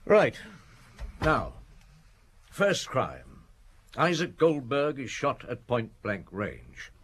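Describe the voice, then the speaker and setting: An actor playing a shrewd, authoritative chief inspector with a husky voice in a radio murder mystery. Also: male voice, mid-range voice, wildly swooping pitch, extremely slow, exaggerated voice. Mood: brisk interrogation. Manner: probing, authoritative, wry. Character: shrewd, commanding male police chief inspector.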